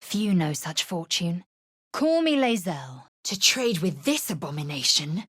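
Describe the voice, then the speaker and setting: A stern, imperious alien warrior woman for a video game. Her voice sits low and has a husky grain. She speaks slowly in formal, clipped phrases, proud and fierce. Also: big pitch swings, fairly bright tone, dry recording, heavily acted. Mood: haughty, stern. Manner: clipped, formal, haughty delivery. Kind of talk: video game character voice acting.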